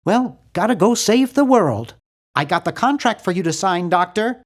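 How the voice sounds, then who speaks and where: Nerdy, excitable young game hero. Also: male voice, sits high, moderate pace. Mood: worried, pleading, then insistent. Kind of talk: video game character voice lines.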